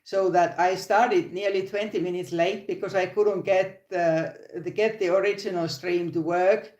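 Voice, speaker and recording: A woman's voice: fairly low pitch, fairly even intonation, unhurried, darkish tone, reverberant, lo-fi sound. An older woman with a Finnish accent, hosting a casual live crochet stream from her phone, often restarting sentences and laughing. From Finland. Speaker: crochet channel host.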